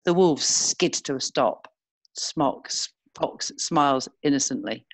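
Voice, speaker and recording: A woman's voice: rumbling low, animated, slow pace. A woman narrator reading scene descriptions as scripted screenplay narration.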